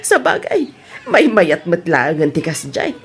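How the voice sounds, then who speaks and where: A woman's voice: fairly low pitch, wildly swooping pitch, takes it slowly, gravelly, husky, full character voice. A woman playing a teasing, gossipy aunt in an Ilocano audio drama. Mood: teasing, amused. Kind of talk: Ilocano radio-style drama acting.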